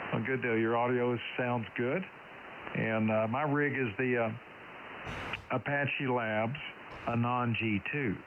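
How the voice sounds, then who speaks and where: A thin, narrow radio signal with clear hiss carries a man's mid-pitched voice. He talks as a ham operator in a folksy, conversational way, keeping the contact casual. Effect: shortwave radio transmission.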